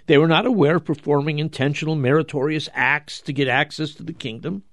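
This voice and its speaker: A man preaching as a religious commentator, emphatic and rhetorical, with deliberate pauses and repetition for weight. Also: a little high, dry recording. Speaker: religious commentator and show host. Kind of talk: dramatic Gospel commentary, preaching to listeners.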